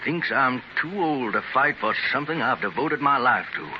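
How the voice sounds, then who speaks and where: A man's voice: medium pitch, animated, moderate pace, natural voice. An actor playing a determined male industrialist in his seventies, earnest and weary yet defiant, in 1930s radio drama style. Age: 70s. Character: aging determined male industrialist, stubborn fighter. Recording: dull hissy 1930s transcription disc.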